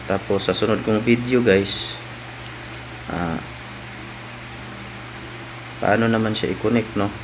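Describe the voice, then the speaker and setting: Male vlogger, lower register, informal stop-and-start product walkthrough, recorded with clear room noise. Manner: informal, halting, often tags phrases with "no?". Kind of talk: casual unboxing vlog narration.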